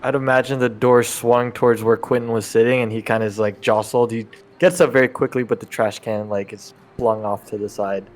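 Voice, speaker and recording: A man's voice: mid-range voice, steady tone, a little fast, hardly any pauses. A man improvising role-play as a player in a tabletop game, with a hesitant, tentative, stammering manner. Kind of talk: improvised role-play, describing and speaking for his character.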